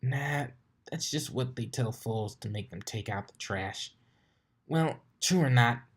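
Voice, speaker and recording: A man's voice: mid-range voice, expressive, a little slow, slightly rough. A man voicing a brash, cocky young female pegasus in a storybook reading, grumbling and exclaiming. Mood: dismissive.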